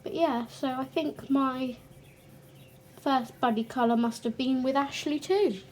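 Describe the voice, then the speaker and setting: Croaky, slow-talking woman. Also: high-pitched, a little mellow, a little hiss or hum. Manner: reflective, stops to clear her throat. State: croaky, short of breath. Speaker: colouring channel host. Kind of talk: casual chat to camera.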